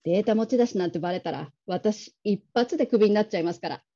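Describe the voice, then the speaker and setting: A considerate female manager played by an actress with an extremely soft, dark tone. She speaks in a gentle, measured, courteous way, as in a radio drama. The sound is slightly muffled. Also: mid-range voice, close to an everyday voice. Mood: confiding, considerate. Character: polite, caring, discreet female call-centre manager.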